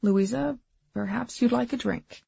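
A woman voicing a mild, conciliatory wealthy father in an audiobook, gently measured in manner. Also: a little high, vocal fry, breathy edge, lo-fi sound, pitched above the performer's own voice, full character voice. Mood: polite.

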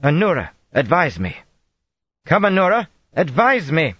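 A man's voice: on the low side, lively intonation, unhurried, no room sound, highs cut off, voice pushed up. A man voicing a haughty, indignant young queen. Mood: pleading. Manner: haughty, insistent.

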